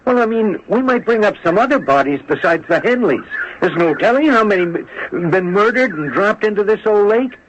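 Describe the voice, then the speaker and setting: A man's voice: high-pitched, animated, normal speed, few pauses. An American man playing a naive, chatty rustic deputy with a folksy drawl in a radio mystery drama. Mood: eager, musing. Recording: dull lo-fi old radio recording, faint noise.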